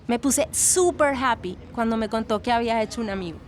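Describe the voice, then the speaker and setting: A woman's voice: a little high, brisk, close to an everyday voice. An actress playing a frank, protective young Colombian woman giving casual testimony in an audio drama, dropping in English phrases. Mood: fond. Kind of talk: documentary-style testimony, scripted audio drama. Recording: voice over faint ambient noise.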